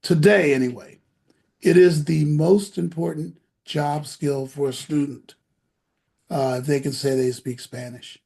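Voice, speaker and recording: A man's voice: fairly high pitch, steady tone, unhurried, creaky, darkish tone. A man hosting a casual interview, talking to parents in a folksy, persuasive, rambling way. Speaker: host of an education program show.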